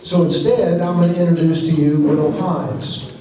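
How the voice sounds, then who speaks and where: A man moderating a club lecture series, introducing and questioning a guest in a relaxed, chatty way. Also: a little high, normal speed, slight background noise. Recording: very echoey hall, far from mic.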